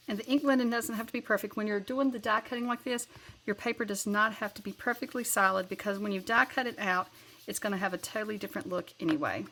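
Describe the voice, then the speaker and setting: A woman's voice: medium pitch, fairly bright tone, slight background noise. A woman with a Southern accent hosting a card-making channel, talking through a craft tutorial in a chatty, rambling way and correcting herself as she goes.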